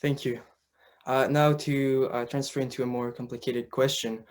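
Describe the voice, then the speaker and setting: A man's voice: medium pitch, flat delivery, normal speed. Young man politely hosting a student interview. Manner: polite, slightly hesitant with fillers. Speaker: student co-host. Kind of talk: scripted interview questions.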